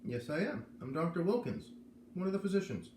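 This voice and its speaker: A man's voice: high voice, big pitch swings, brisk, darkish tone, voice pushed up. Man reading as a grave, kindly, careful doctor. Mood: grave explaining. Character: grave, kindly male doctor with greying hair. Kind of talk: read-aloud of a children's novel. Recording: roomy echo, noticeable background noise.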